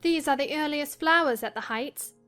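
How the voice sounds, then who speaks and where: A woman's voice: sits high, normal speed, pushed and bright, soft background music. A woman playing a frail, wistful invalid young wife in a dramatized audiobook.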